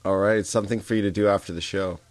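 Deep-voiced congested man, dry sound. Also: steady tone, fast talker, dry recording. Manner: easygoing, short agreeing replies. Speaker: podcast co-host. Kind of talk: casual chat. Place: home, Vancouver.

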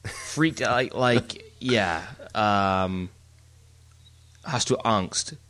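A middle-aged man co-hosts a casual podcast from home. His voice sits a little low, and he jokes around and rambles, restarting his sentences often. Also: drawn-out words, a bit bright. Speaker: podcast co-host. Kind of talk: casual chat. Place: home, Germany.

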